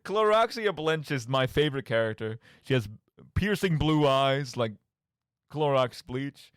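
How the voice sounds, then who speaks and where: A man's voice: medium pitch, animated, unhurried, smooth, no echo. A man hosting a radio show, giving casual solo commentary on games in an emphatic, rambling way, often interrupting himself.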